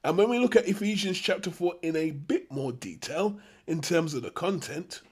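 Higher-voiced man teaching the Bible. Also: animated, moderate pace, darkish tone, husky.

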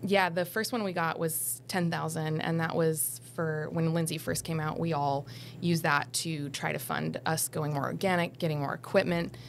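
A woman's voice: deep voice, fairly even intonation, moderate pace, vocal fry, slight background noise. A woman in her thirties, a farm co-founder chatting casually on a podcast, full of fillers, on a studio mic. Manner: chatty, filler-heavy, laughs easily. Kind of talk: casual interview chat. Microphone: studio boom mic.

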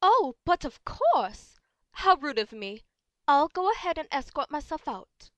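High-voiced, genteel, theatrical lady unicorn played by a woman. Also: big pitch swings, unhurried, fairly bright tone, dry recording, full character voice. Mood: polite, protective. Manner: genteel, dramatic. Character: refined, theatrical, doting female unicorn pony. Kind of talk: amateur audio drama acting.

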